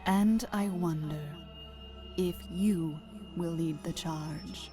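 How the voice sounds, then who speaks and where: A slow, regal spider goddess, acted by a woman for a fantasy audio drama. Her voice sits on the low side and she is measured and solemn. Music plays clearly behind her. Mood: solemn, brooding. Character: revered spider deity, a commanding, serene female god. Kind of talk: visual-novel audio drama.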